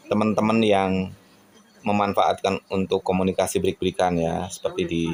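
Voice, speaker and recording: A man from Indonesia giving a casual, reflective monologue to viewers in an earnest, halting way, often tossing in rhetorical questions. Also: low-pitched, vocal fry, darkish tone. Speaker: radio hobbyist vlogger.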